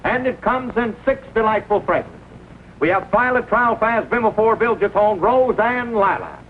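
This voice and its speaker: A slick traveling salesman from a 1940 comedy film. His pitch is high and leaps about as he pushes his wares glibly. The soundtrack is old, dull and hissy. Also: very animated, normal speed. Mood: smooth selling. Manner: slick sales patter with chuckles. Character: pushy, glib city traveling salesman of bath salts.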